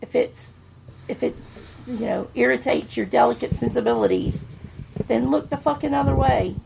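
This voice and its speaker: A woman's voice: on the high side, moderate pace, vocal fry, noisy background. A middle-aged woman, chatting bluntly as a podcast co-host and swearing freely. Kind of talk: casual chat.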